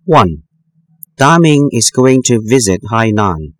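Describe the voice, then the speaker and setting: A man reads numbered sentences for a school listening test, pausing and pronouncing each word with care. His pitch is mid-range but swings widely. It is recorded close and dry, with no room sound. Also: sing-song, no room sound. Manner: slow, careful, deliberate enunciation. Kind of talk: scripted textbook listening exercise.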